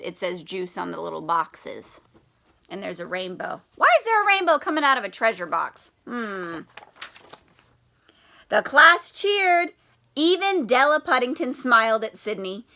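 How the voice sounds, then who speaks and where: A woman's voice: on the high side, sharp tone. A woman who teaches primary school, reading aloud and talking directly to children with prompting questions.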